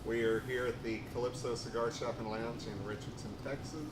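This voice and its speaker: A middle-aged man with a mid-pitched voice hosts a casual show. He banters in a teasing, self-deprecating way. The room is echoey, with a loud air-conditioning hum. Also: little pitch movement, brisk. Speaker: show host. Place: cigar shop lounge.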